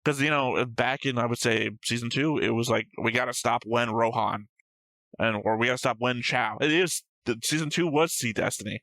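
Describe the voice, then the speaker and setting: A man's voice: mid-range voice, moderate pace, fairly bright tone, no echo. A man co-hosting a reaction show, chatting casually and analytically, thinking aloud and swearing freely. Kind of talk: casual analytical chat about an anime episode.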